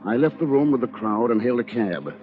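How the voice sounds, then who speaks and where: An actor playing a scheming, precise lawyer in an old radio drama, with coolly confiding delivery. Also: male voice, medium pitch, lively intonation, a little fast, over music, lightly acted. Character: scheming, coolly calculating male lawyer narrating his crime. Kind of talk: 1940s radio drama acting, first-person narration. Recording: dull, lo-fi old radio recording.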